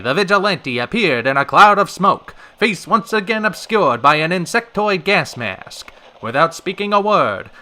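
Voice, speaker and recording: An actor playing a wry, smooth-talking radio host with a wordy, polished, dryly sarcastic manner in an old-time radio drama. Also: male voice, sits high, bright, forceful tone, exaggerated voice.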